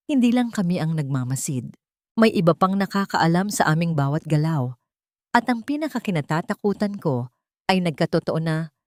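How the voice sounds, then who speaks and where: A woman's voice: low voice, a little mellow, no echo, clean studio sound. A woman narrating a scripted first-person story in a dramatic, suspenseful and emotive way.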